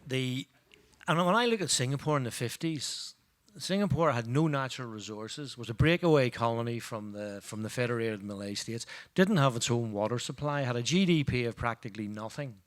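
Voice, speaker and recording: Panel speaker, male, faint Northern Irish accent worn down, measured delivery, mid-pitched voice. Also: expressive. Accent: faint Northern Irish, softened. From Northern Ireland. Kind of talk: panel discussion.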